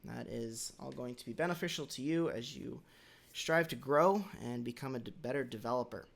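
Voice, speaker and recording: A man talks through a developer lesson in an easygoing, measured way, his voice still hoarse from a cold. He pauses a little as he goes and clears his throat now and then. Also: medium pitch. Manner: measured, slightly halting, with occasional throat clearing. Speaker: developer educator hosting a weekly question. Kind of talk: casual instructional talk.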